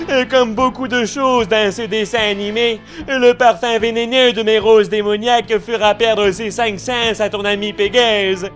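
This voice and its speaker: A man's voice: sits high, fairly even intonation, slow, a bit bright, faint music behind. A man playing a vain, effeminate villain knight in an anime parody dub, with an affected, mocking, theatrical delivery. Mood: smug, menacing.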